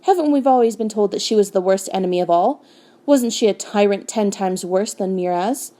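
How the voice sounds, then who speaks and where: A woman's voice: mid-range voice, a little fast, warm tone, lightly acted. A woman voicing a noble, hot-tempered young king in a storybook, declaiming with indignant force.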